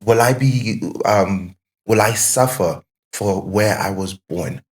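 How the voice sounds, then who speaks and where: Low-pitched man talking casually and emphatically. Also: slow. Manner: emphatic, questioning, repeats phrases for emphasis. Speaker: podcast guest. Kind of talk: casual reflective conversation.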